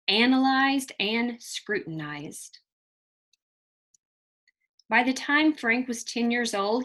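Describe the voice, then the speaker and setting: Bright-voiced woman reading a storybook to children. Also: on the high side. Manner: deliberate, emphatic delivery addressed to children. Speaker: teacher reading a picture book to children. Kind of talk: expressive read-aloud storytelling with questions to young listeners.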